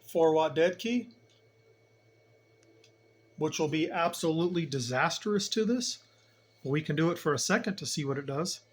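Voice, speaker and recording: Male hobby demonstrator, a little higher than average in pitch, informal, colloquial, emphatic explainer. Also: normal speed, pauses often. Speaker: CB radio hobbyist host giving a demonstration. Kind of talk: casual hands-on demonstration, explaining as he goes.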